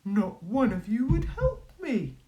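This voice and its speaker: A woman voicing a polite, long-suffering male storybook wolf with a drawn-out, plaintive delivery. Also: fairly high pitch, huge pitch swings, very noisy, pitched above the performer's own voice, heavily acted. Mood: aggrieved.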